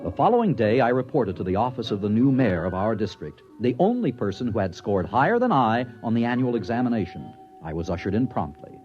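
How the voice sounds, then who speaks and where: An actor playing an anxious, ambitious bureaucrat and narrator in a melodramatic 1950s radio drama style. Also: male voice, mid-range voice, brisk, over music, natural voice. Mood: measured narrating. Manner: melodramatic, excitable, clipped narration. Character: anxious, ambitious male bureaucrat, self-righteous and vain. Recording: dull vintage 1950s radio recording.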